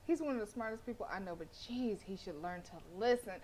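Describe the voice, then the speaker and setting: A young woman streams a horror visual novel and chats loudly over it, full of slang and playful jabs at the characters. Her pitch sits in a middling range. A faint hum of room noise stays behind her voice. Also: fast talker. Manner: loud, playful, slangy, animated. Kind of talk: casual gameplay commentary and reading.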